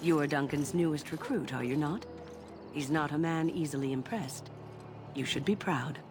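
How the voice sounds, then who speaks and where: Wise old lady mage voiced by an actress, low voice, polite, fantasy game, loud music under it. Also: moderate pace, lightly acted. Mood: courteous, composed. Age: older. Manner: polite, composed, gently wry. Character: courteous, wise older woman mage.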